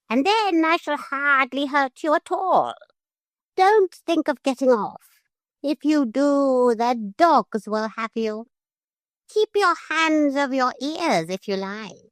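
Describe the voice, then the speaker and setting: A woman's voice: high-pitched, no echo, voice pushed up. A woman voicing a fussy, formal male parrot who scolds and threatens politely. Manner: prim, formal, pompous.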